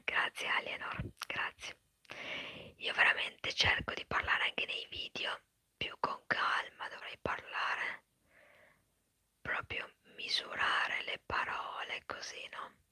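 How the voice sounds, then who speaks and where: A woman whispering quickly and chattily in an ASMR live stream, answering viewers' comments. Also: bright, forceful tone, dry recording. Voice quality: breathy whisper. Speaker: ASMR creator.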